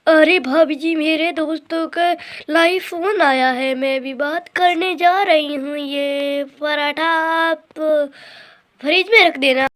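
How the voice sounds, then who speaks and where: A woman's voice: sits high, fairly bright tone. A woman voicing a spoiled, lazy young sister-in-law bird in a children's cartoon, whiny and complaining. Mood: demanding, breezy. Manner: drawn-out, complaining. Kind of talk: Hindi children's cartoon voice acting.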